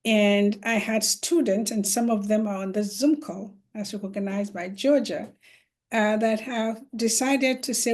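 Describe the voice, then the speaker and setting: A middle-aged woman from northern Nigeria speaks on a video call panel. She talks very slowly and earnestly, and she restarts her phrases with many fillers. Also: mid-range voice, a little mellow. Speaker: panelist, law professor and educator. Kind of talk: virtual panel talk.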